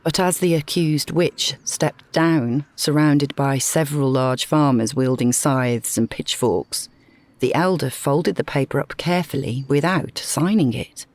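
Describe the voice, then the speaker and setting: A woman's voice: deep voice, measured pace, a little mellow, no room sound. A woman reading a scripted story as a dramatic narrator.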